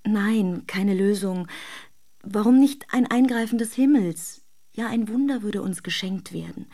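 A woman's voice: medium pitch, measured pace, warm tone. An actress playing an adoring, despairing woman in a secret love affair, in an intimate, emotional radio drama. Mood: fervent, hopeful.

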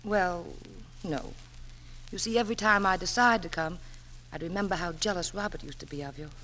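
An actress playing a gentle, resilient middle-aged mother, affectionate and composed, in a 1940s radio drama. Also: fairly low pitch, a little fast. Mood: hesitant, subdued. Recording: noisy old 1940s radio broadcast.

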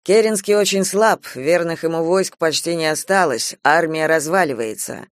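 Man as an urgent alarmist gentleman, higher voice. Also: moderate pace, no echo, clean studio sound, natural voice. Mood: ominous warning.

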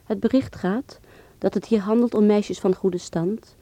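A woman's voice: medium pitch, steady tone, a little slow, warm tone, no echo, lightly acted. An actress playing a woman with a Dutch accent who reads out an intimate letter in a radio drama. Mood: subdued, confiding.

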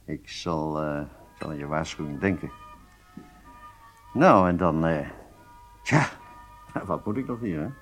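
An actor playing an agitated, businesslike intelligence handler in a radio drama, with halting delivery and emphatic outbursts. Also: male voice, rumbling low, soft background music. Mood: brisk, businesslike.

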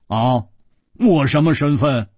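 Man voicing a brash swordsman hero, storybook reading, drawling and teasing, very husky, dry close sound. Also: mid-range voice, slow, a little mellow, no echo. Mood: cocky. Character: cocky lazy swordsman hero, hot-tempered.

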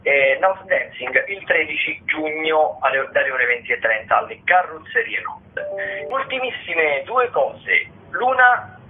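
A man giving an informal, wordy radio rundown of theatre listings, halting as he searches for words. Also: fairly high pitch, very animated, soft background music. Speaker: theatre radio show contributor. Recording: tinny phone line with noise.

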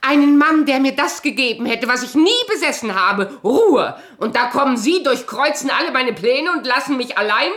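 A woman playing a proud, bitter abandoned wife in a radio drama, sharp-tongued, imperious and sardonic in a theatrical style. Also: high-pitched, lively intonation, normal speed, few pauses. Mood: furious, haughty.

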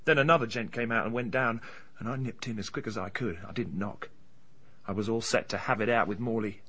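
An actor in a radio drama playing a surly, hot-tempered, frightened British bully with blunt, colloquial speech. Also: male voice, lowish voice, brisk, fairly bright tone, breathy edge. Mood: reluctant confession.

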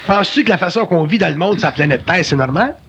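A man's voice: high-pitched, animated, quick pace, fairly bright tone, a little hiss or hum. A man with a Québécois French accent, giving emphatic, colloquial spiritual talk in a philosophical conversation. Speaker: spiritual speaker.

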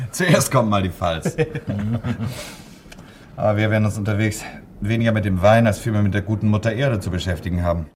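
An actor playing an easygoing, wry army officer in a radio play, with a casual way of talking. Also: male voice, on the low side, moderate pace, pauses often, a little mellow, natural voice. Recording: faintly noisy radio-play recording.

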